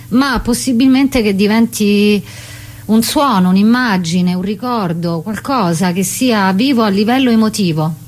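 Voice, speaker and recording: A woman interviewed as a playwright and actress, explaining conversationally and emphatically, rephrasing and piling up short phrases. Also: mid-range voice.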